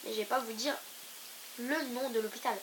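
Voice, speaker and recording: A woman's voice: on the high side, moderate pace, poor audio. Young woman with a cold, hissy mic. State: stuffy with a cold. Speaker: gaming YouTuber co-host. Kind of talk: casual let's-play chat. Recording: hissy home recording. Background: steady hiss with clicks.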